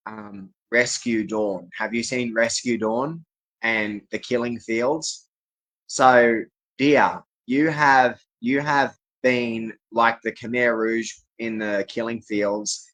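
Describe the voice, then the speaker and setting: A man's voice: on the low side, a bit bright, low-quality recording. A man from Australia giving a rambling, insistent personal monologue to viewers, often repeating his declarations. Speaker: vlogger speaking to camera.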